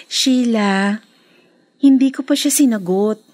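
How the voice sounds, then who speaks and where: High-voiced, eager young Pinky. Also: female voice, takes it slowly, darkish tone, no room sound. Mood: excited, confiding. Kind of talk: Filipino radio drama acting.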